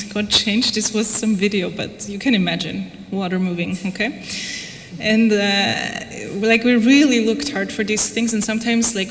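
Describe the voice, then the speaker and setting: A woman from Austria gives an informal talk about her own research in a conversational, gently wry style. Her voice rings in a reverberant hall, with some noise in the background. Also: mid-range voice. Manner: conversational, wry, frequent "like" and "you know". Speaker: lecturer presenting own research project. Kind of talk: informal lecture. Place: reverberant lecture room, before an audience.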